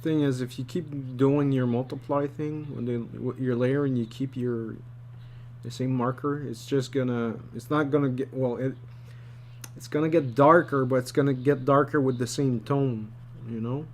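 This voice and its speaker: A man thinks aloud through an informal drawing lesson in a medium-pitched voice. He talks haltingly, often repeating a phrase before moving on. A faint layer of noise and hum sits behind him. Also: fairly even intonation. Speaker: storyboard artist teaching. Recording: clearly noisy background with hum.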